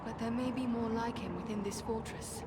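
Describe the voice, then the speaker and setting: A woman's voice: on the high side, steady tone, moderate pace, very noisy, lightly acted. An actress playing a solemn, watchful female elf healer in a fantasy game.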